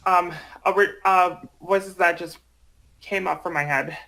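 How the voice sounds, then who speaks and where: A man in casual chat who speaks haltingly, hesitating and repeating himself as he goes. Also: high-pitched, fairly even intonation, vocal fry, pushed and bright, low-quality recording. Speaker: amateur voice project creator.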